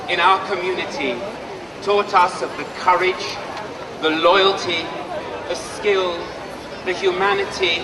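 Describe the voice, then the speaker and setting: A man giving a solemn, deliberate public speech at an outdoor rally. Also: high voice, background noise. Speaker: speaker at a rally. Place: large outdoor square. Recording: distant PA speech in a loud echoing crowd.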